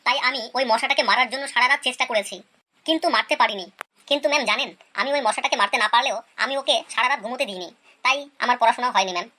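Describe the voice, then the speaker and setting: A performer voicing a cheeky schoolboy pupil who makes excuses in a deferential, pleading way in an animated comedy skit. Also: mid-range voice, racing, close to an everyday voice.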